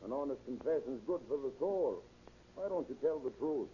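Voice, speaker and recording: An actor playing a firm, patronizing police interrogator in a hissy 1930s radio drama. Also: male voice, mid-range voice, expressive, dark tone, muffled recording, lightly acted. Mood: coaxing, pressing. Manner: brisk, pressing, patronizing.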